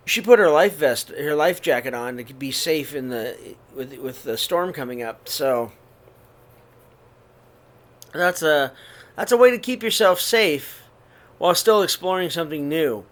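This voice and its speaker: Gentle man reading a picture book aloud. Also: a little high, animated. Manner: gentle, unhurried storybook reading with throat clears and restarts. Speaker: read-aloud host for young children. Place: living room, seated on a sofa before curtains. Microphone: webcam or laptop camera at close range.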